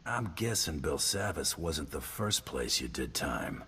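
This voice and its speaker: A tough team leader from a video game, speaking in a rumbling, very deep bass with lively inflection. His delivery is clipped, dry and sardonic, quietly threatening. There is faint noise in the background. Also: measured pace, full character voice. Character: hard-bitten male team leader, dryly threatening tough guy. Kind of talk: video game character acting.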